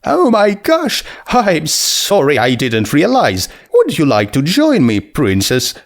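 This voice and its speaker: Guy doing a chirpy girly skeleton pony for a story, super high and light, full of energy, really polite. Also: huge pitch swings, slow, full character voice. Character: cheerful, polite young female skeleton pony.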